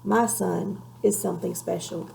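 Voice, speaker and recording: A woman's voice: medium pitch, fairly even intonation, unhurried, creaky, background noise. A woman voicing a proud, protective father dragon in a storybook, firm and declarative.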